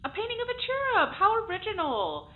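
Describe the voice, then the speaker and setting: A woman's voice: extremely high pitch, huge pitch swings, a little fast, heavily acted. A woman playing a snarky, defiant test subject in an audio drama, speaking with dry sarcasm. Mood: sarcastic. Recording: tinny phone-like channel, faint noise.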